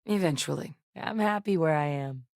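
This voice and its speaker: A guarded, principled woman scientist in a sci-fi game. Her voice sits low, recorded very dry and close. She speaks in a measured, earnest manner with a wry edge. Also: creaky, darkish tone, no room sound. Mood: firm, earnest. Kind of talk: video game character dialogue.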